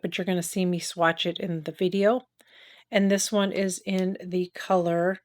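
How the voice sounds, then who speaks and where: A middle-aged woman with a nasal voice from a cold, chatting candidly through a makeup review and tutorial. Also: fairly low pitch. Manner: chatty, candid, talks while applying makeup. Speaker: beauty vlogger.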